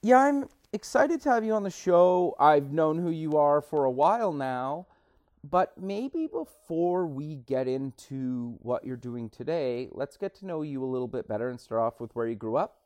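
A man's voice: on the high side, expressive, moderate pace, a little mellow, no room sound, clean studio sound. A man from Canada hosting an interview, asking short friendly questions. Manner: friendly, brief prompting questions. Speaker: podcast host. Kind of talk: interview host.

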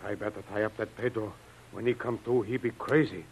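An actor playing a brave, loyal native jungle helper in a radio serial, speaking simple clipped broken English. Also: male voice, fairly low pitch, fairly even intonation, fairly quick, natural voice. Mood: earnest, protective. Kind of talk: 1940s radio adventure serial acting. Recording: hissy, dull old radio recording.

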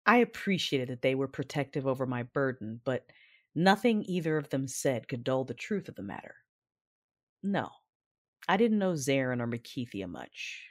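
A woman playing a brooding, commanding Sith who narrates her memories in a measured way for an audio drama. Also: very deep voice, very animated, exaggerated voice. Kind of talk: first-person audio drama narration and dialogue.

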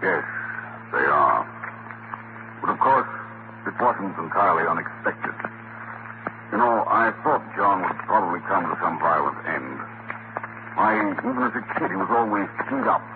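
A man's voice: deep voice, expressive, moderate pace, pauses often. A man acting a genial, easygoing gray-haired world traveler in a 1940s radio crime drama. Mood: reminiscing. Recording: muffled, noisy 1940s radio recording.

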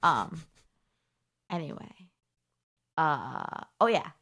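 Young woman cohost, kinda low gravelly voice, rambly and self-deprecating chat. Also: sing-song, extremely slow, a bit bright. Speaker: podcast co-host. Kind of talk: casual chat.

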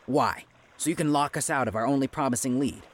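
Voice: mid-range voice, fairly quick, close to an everyday voice. An actor playing a brash, defiant adventurer in a fantasy audio drama, pushy and emphatic.

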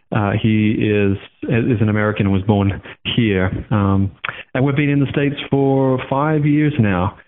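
A man's voice: mid-range voice, slight background noise. Australian man, thirties, casual rambling talk, tinny phone line. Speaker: guest. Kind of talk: casual interview over the phone.

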